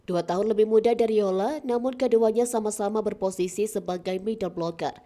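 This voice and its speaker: Mellow-voiced woman reading sports news. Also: mid-range voice, a little fast. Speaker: news narrator. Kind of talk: scripted news narration.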